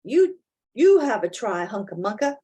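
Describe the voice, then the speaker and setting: A woman's voice: medium pitch, sing-song, a little slow, mellow, breathy edge. A woman voicing a fussy, exasperated little male mouse from a storybook, exclaiming and bossy. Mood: frustrated.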